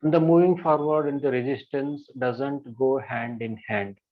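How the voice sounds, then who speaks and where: A male meditation guide talks listeners through a body scan at a very slow, unhurried pace. His pitch is ordinary, and he repeats short affirmations in a soft, gentle way. The recording sounds dull and lo-fi.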